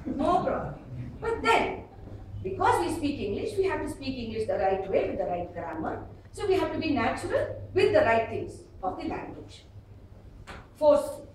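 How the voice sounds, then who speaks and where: A high-voiced woman with an Indian accent teaches a club audience about public speaking. Her delivery is emphatic and swings widely in pitch. She is recorded from a distance in a very echoey room. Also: moderate pace, slight background noise. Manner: expressive, emphatic, with acted-out examples. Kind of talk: instructional speech to a live audience. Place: indoor meeting room before an audience.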